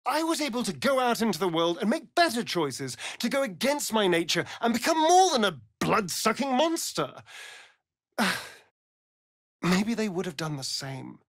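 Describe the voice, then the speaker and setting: An actor with an English accent playing a sardonic, flamboyant vampire spawn rogue in a video game, theatrical and arch in manner. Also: male voice, high-pitched, sing-song, normal speed, dry recording, clean studio sound, heavily acted. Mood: grave. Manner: theatrical, arch, drawling with sighs. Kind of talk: video game character acting.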